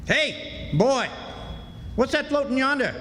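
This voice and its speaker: A man's voice: very high voice, lively intonation, slow, pushed and bright, faint music behind, heavily acted. A man playing a commanding armed slave hunter in a radio play, hailing in a bossy manner. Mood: commanding, suspicious. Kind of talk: stage radio drama acting. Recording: echoey hall, noisy, off mic.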